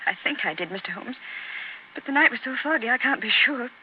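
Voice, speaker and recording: A woman's voice: on the high side, expressive, a little fast, natural voice. An actress plays an anxious, devoted young woman in old radio melodrama style. The delivery is emotional and pleading. The sound is muffled, with light hiss. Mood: distressed, pleading. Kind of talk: 1930s radio drama acting. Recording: muffled, noisy 1930s radio recording.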